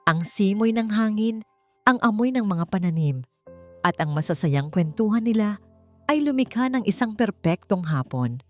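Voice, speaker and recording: A woman reading a scripted romantic story as an audiobook narrator, in a measured delivery with deliberate pauses. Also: medium pitch, expressive. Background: background music.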